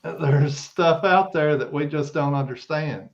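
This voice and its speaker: A man's voice: fairly high pitch, a little slow. Folksy man, slightly muffled sound. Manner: folksy, plain-spoken. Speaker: podcast guest, Bigfoot investigator. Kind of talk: casual conversational discussion.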